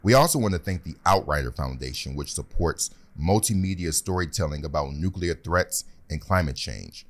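Deep-voiced man narrating steadily. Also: lively intonation, moderate pace, few pauses. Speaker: podcast host. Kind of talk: scripted narration and casual reactions.

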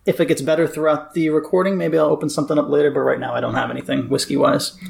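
A man co-hosting a casual podcast, his voice hoarse, talking in a laid-back joking way. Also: on the high side, little pitch movement, rapid-fire, a little mellow. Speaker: podcast co-host.

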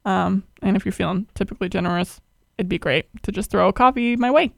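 A woman's voice: mid-range voice, quick pace, vocal fry, dry recording, clean studio sound. A young woman hosting a podcast, asking questions in a friendly, rambling way with lots of fillers. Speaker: podcast host. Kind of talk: casual interview.

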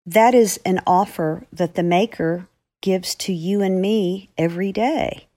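A woman's voice: fairly low pitch, measured pace, dark tone. A woman giving an earnest, reflective devotional explanation in a teacherly way, as an author and commentator.

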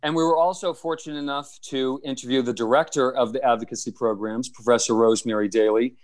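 A man's voice: medium pitch, fairly even intonation, quick pace. Upbeat young man hosting a podcast. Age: young adult. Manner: upbeat, playful. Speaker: podcast host. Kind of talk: scripted podcast intro. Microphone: video call.

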